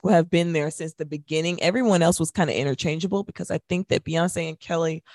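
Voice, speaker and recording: Woman, lowish voice, casual radio co-host, conversational, opinionated, very dry sound. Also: quick pace, a little mellow, no room sound. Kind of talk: casual chat.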